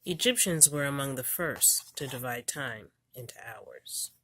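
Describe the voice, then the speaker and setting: A woman with a low voice reads aloud from an educational picture book for children. Her phrasing is deliberate, in the way of someone telling a storybook to young learners. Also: animated, a bit bright, slightly rough. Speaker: homeschool parent reading a book aloud.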